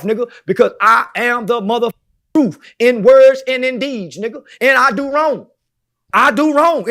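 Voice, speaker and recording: A man with a strikingly high voice holds forth as a podcast guest. He is brash and boastful, preaching about himself with constant swearing. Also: sharp tone.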